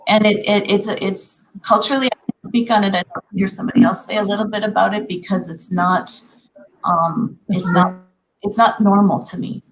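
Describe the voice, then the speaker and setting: Woman, forties, Minnesotan accent, mid-pitched voice, reflective, lots of ums. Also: steady tone, brisk, rough recording. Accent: Minnesotan American. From Minnesota, USA. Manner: earnest and reflective, many ums and restarts. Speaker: educator and panel guest. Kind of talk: conversational panel discussion.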